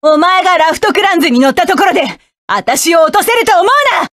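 A resolute young woman mecha pilot in a game, snapping out commanding battle orders in a high, bright voice. Her lines are recorded dry and close, with no room sound at all. She stays fierce and set on revenge against her foes. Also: lively intonation, quick pace, no room sound. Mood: vengeful. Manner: commanding, clipped battle calls. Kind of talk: video game combat voice lines.

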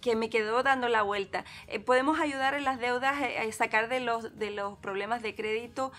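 A woman's voice: on the high side, moderate pace. A middle-aged woman hosting a talk show, speaking reflectively and bringing in faith. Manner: warm, reflective, speaks of God. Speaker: host. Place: TV studio set, seated on armchair.

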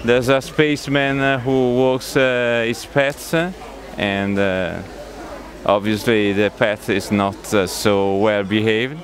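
A man in his forties with an Italian accent, explaining a display casually in halting, hesitant English into a handheld microphone. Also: on the low side, fairly even intonation. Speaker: exhibitor, hobby model builder. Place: large busy exhibition sports hall. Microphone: handheld interview microphone.

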